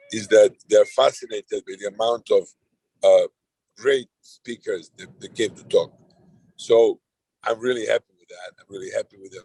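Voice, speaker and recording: A man's voice: fairly low pitch, darkish tone, slightly rough, dry recording, poor audio. A middle-aged man with a Serbian accent, chairing an online roundtable in a loose, rambling way with frequent restarts. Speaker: conference chair. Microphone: video call.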